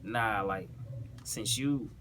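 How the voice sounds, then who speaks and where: A man with a Southern US accent speaks in a fairly low voice. He talks casually in heavy slang, explaining and reciting rap bars and checking that the listener follows. The recording carries faint ambient noise. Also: drawn-out words, sharp tone. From South Carolina, US. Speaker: battle rapper breaking down their own bars.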